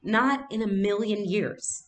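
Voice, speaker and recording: A woman's voice: medium pitch, slow, voice pushed up, lightly acted. A woman voicing a reassuring young girl, matter-of-fact and confiding, in a storybook read-aloud. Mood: dismissive, reassuring.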